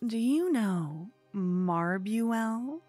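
A woman playing a cute, obsessed con-woman fangirl in a comedic ASMR roleplay, soft, often whispery, eager and cheekily salesy. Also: a little high, animated, drawn-out words, slightly rough, dry recording, soft background music, full character voice. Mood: earnest, defensive. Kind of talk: ASMR roleplay, comedic one-sided dialogue.

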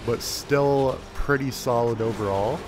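A young man giving casual, excitable commentary while playing a video game, talking to himself through a headset mic. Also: mid-range voice, measured pace, music in the background, poor audio. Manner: excitable, self-mocking, talks to himself. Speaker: gaming YouTuber. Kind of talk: casual let's-play commentary.

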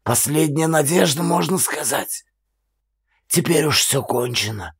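A man playing a husky, broken old man. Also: fairly high pitch, lively intonation, pitched above the performer's own voice, heavily acted. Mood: bitter resignation. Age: older. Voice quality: husky, hoarse half-whisper. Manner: halting, deferential, plaintive. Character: broken, humiliated old man, shabby and sorrowful.

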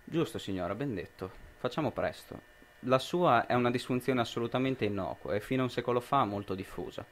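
A man's voice: medium pitch, lively intonation, fairly quick, lightly acted. A young man playing a brusque, officious expert in an amateur radio drama, clipped and formal. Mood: officious, reassuring. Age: young adult. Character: brusque, officious male expert sent by an authoritarian regime.

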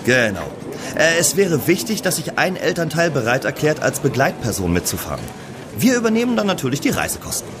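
Upbeat, wildly animated video director. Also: male voice, a little high, brisk, background noise. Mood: friendly, practical. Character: friendly, upbeat male music video director. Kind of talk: German children's audio drama acting.

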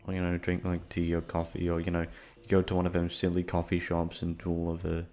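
A man's voice: deep voice, completely flat delivery, brisk, warm tone. A young man chatting informally to his listeners, meandering and swearing freely. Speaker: audio roleplay voice actor. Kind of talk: casual rambling chat to listeners.

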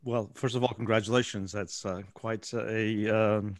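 A man in his sixties moderating a panel on a video call, halting and full of restarts, speaking through an earbud headset in a book-lined office. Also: fairly low pitch, fairly even intonation, no echo, clean studio sound. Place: office with bookshelves, indoors.

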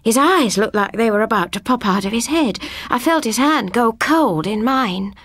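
A woman narrating a scripted first-person ghost story as an audiobook reader, with a husky voice. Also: on the high side, lively intonation, normal speed, fairly bright tone, dry recording.

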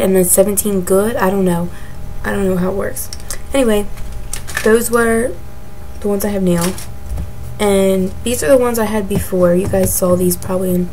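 Raspy-voiced young woman with a cold. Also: medium pitch, darkish tone, slight background noise, rough recording. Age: 20s. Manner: rambling, many ums and likes. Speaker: beauty vlogger. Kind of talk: casual chat answering a tag. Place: bedroom, indoors. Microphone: webcam at desk.

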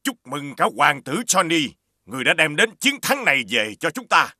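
A man's voice: a little high, big pitch swings, normal speed, heavy background noise. A man voicing a stately king and father in a children's cartoon, formal and declamatory. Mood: proclaiming, celebratory.

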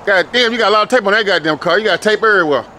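A man's voice: high voice, very fast, bright, forceful tone, a little hiss or hum. A man hosting a casual street interview from behind the camera, teasing and repeating himself, outdoors. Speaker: street interviewer and channel host. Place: outdoors by a building and parking lot.

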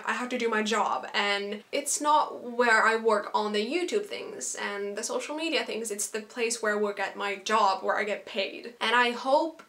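A woman's voice: fairly high pitch, a bit bright. A young woman from Finland with a Finnish accent, whose first language is Finnish, chatting earnestly to camera from her small bedroom. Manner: chatty and earnest, with little laughs. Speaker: YouTuber. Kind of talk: casual talk to camera. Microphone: camera in front at close range.

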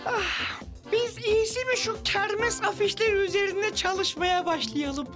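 Casting: excitable young cartoon bird, tiny piercingly high child voice, eager and theatrical. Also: female voice, measured pace, over music. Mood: sheepish.

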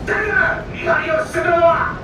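An actor playing a gruff male sailor who shouts rough orders during a storm at sea. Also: very high voice, fairly even intonation, normal speed, heavy reverb, far from the mic, music in the background, poor audio. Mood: urgent shouting. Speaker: ship's sailor.

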